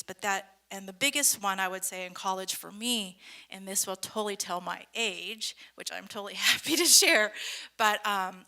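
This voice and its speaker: A woman in her forties with a bright, forceful tone, a native Japanese speaker who talks on a panel into a microphone. She has no Japanese accent and sounds chatty and friendly with a student audience. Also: medium pitch, gravelly. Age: 40s. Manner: friendly, chatty, laughs easily. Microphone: microphone at a panel table.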